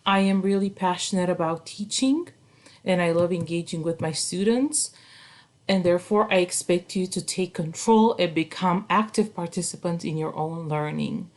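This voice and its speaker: A woman speaks in a somewhat low voice. She talks in an earnest, slightly formal and well-ordered way, lifting her pitch at the start of each new point as she welcomes students to a course. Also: normal speed, darkish tone. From Romania. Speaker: college instructor.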